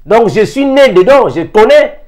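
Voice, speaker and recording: A man delivers a sermon. His voice sits a little high, and he drives his points home with repeated phrases and pointed rhetorical questions. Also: big pitch swings, measured pace, darkish tone. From Africa. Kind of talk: impassioned sermon addressed to fellow believers.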